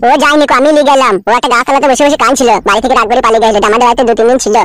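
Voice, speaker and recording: Whiny tattletale village lad played by a man: high-pitched, very fast, pleading. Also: a bit bright, no room sound, clean studio sound. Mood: complaining. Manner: pleading, insistent, complaining. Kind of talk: comedy cartoon dialogue.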